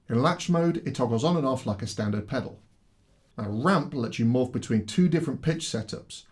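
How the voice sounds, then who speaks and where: A man presenting a product demo in scripted explanatory narration, with a clear, step-by-step instructional delivery. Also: mid-range voice, darkish tone.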